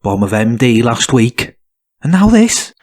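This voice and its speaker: A prim, hypochondriac young male student in an audio drama. He is pompous and indignant, with a husky voice that rises and falls sharply as he lays down rules. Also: medium pitch, sing-song, unhurried. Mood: exasperated. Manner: pompous, reproachful, formal wording.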